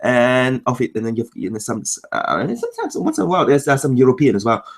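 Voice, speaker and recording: A man from Macau with Cantonese as his first language, speaking English as an interview guest. His voice sits at an ordinary male pitch, and he explains things in quick bursts, rattling off lists as he goes. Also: very animated. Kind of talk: casual conversational interview.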